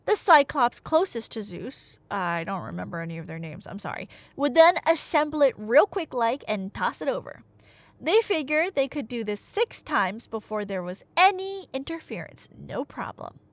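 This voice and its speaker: A woman's voice: a little high, big pitch swings, moderate pace, no room sound. A woman telling a myth in a casual, chatty but dramatic way, with wry asides, like an ASMR roleplay storyteller. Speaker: storyteller playing a chatty girlfriend.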